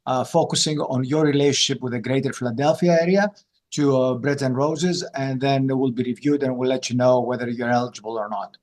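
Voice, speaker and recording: A man with a medium-pitched voice answers questions as a panelist in an online session. He explains deliberately, in phrases broken up by pauses. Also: fairly even intonation, darkish tone. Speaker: scholarship panelist. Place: virtual meeting on a video call.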